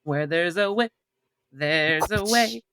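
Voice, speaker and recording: A woman's voice: fairly low pitch, slow, background noise. A woman co-hosting a podcast in casual panel chat, opinionated and emphatic in how she talks. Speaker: podcast co-host. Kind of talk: casual panel chat about film adaptations.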